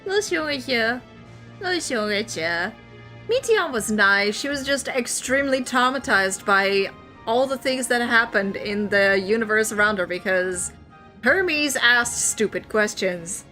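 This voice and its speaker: A Dutch woman gives casual, expressive commentary on a game stream. Her voice is very high and swings freely up and down, at an unhurried pace. She sounds playful throughout. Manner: playful, expressive, reacting aloud. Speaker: VTuber streamer playing a video game. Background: soft game music.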